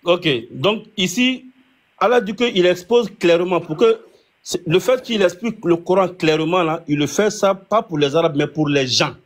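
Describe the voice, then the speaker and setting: Guy running a religion debate, kinda high voice, lots of restarts. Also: moderate pace. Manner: argumentative, many restarts.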